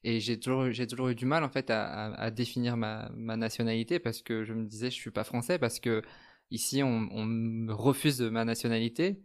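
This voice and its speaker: Soft-spoken young man, hesitant. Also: mid-range voice, steady tone, normal speed. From France. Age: 20s. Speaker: guest. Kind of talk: reflective personal testimony.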